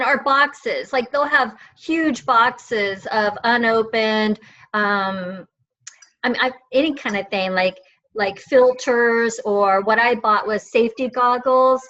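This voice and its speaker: A middle-aged woman with a high, bright voice, chatting eagerly and rambling with plenty of fillers. Her audio comes through a video call and sounds dull and lo-fi. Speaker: guest, online reseller. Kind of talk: casual video chat.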